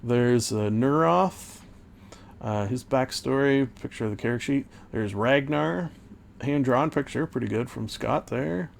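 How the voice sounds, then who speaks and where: A man hosting a podcast, casually recapping an old tabletop campaign, rambling with many fillers and self-corrections, and a little hoarse. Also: medium pitch, measured pace, a little hiss or hum. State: losing his voice. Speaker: podcast host and game master.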